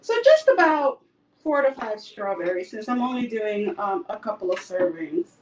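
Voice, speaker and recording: A woman's voice: mid-range voice, sing-song, normal speed, recorded from a distance, lo-fi sound. A woman from El Salvador giving a casual cooking demonstration in her home kitchen, chatty with frequent fillers. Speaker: cooking instructor.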